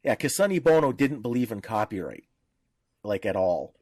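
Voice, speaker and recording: A man's voice: on the low side, big pitch swings, normal speed, darkish tone, no room sound, studio quality. A man speaking as a podcast panelist in informal discussion, explaining in a didactic way with examples.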